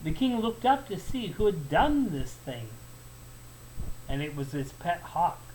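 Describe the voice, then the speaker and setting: A man narrating a folktale as a storyteller, in an expressive, deliberate and emphatic way. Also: on the high side, poor audio. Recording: faint background noise.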